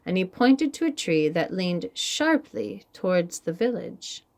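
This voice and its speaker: Slow, animated woman storyteller. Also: fairly low pitch. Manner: animated, deliberate, with sound words. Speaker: children's storybook reader. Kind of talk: expressive read-aloud storytelling for young children.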